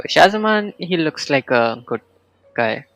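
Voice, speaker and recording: A male game streamer gives casual playthrough commentary in a mid-pitched, creaky voice. He talks very slowly and informally, reacting off the cuff. Faint game music runs underneath. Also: big pitch swings, a bit bright.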